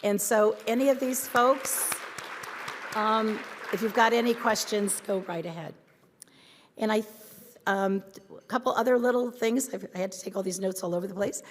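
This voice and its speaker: A woman with a hoarse voice giving a chatty welcome address and announcements to a conference. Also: mid-range voice, moderate pace, slight background noise. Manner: friendly, chatty, many ums and restarts. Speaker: conference co-chair. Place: large conference hall. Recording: reverberant hall.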